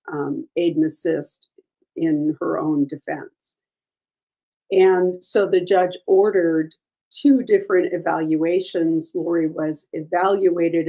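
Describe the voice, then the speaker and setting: A woman hosting a true crime show, hoarse and gravelly from a lingering cold, going over a case with frequent ums and uhs. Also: on the low side, dark tone, poor audio. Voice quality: gravelly, froggy voice. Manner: careful, with many ums and uhs. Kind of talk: casual case update.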